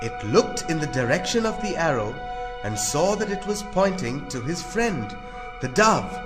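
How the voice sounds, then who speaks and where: A man's voice: a little high, big pitch swings, moderate pace, over music. A man narrating a scripted fable for children.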